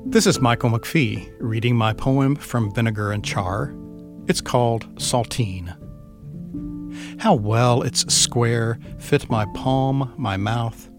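A man reading his own poem aloud in a measured, deliberate way. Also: mid-range voice. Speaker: poet. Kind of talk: poetry reading. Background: music.

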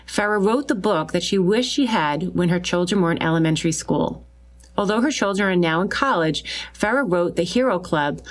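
A woman with a Long Island New York accent hosting a podcast in an enthusiastic, chatty way. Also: on the low side. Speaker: podcast host. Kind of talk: upbeat podcast introduction and interview.

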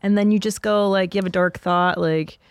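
A blunt woman from Canada in her forties hosts a casual comedy podcast, swearing freely and mocking herself. Her voice carries a gravelly fry at an ordinary middle pitch. The sound is dry and close, with no room around it. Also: fairly even intonation, moderate pace, no room sound. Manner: blunt, profane, self-mocking. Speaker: podcast host.

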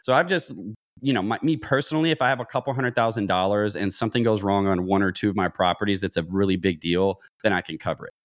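Low-voiced man in his thirties, rambling. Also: quick pace. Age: 30s. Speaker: podcast guest, real estate investor. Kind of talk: conversational interview explanation.

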